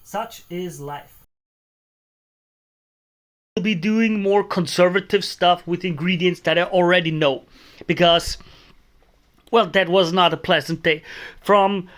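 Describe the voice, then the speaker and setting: A live cooking streamer, talking to viewers in a loose, chatty way at an ordinary middle pitch. The talk drifts easily from remarks about the pot to greeting and answering people in the chat. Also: slow pace, long pauses, fairly bright tone. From Austria.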